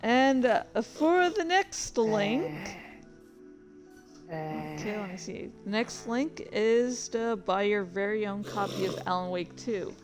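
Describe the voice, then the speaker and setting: Woman streamer, unhurried and halting, easily sidetracked, chatting casually over light music. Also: medium pitch, expressive. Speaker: livestream host and fan fiction reader. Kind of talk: casual rambling stream chat.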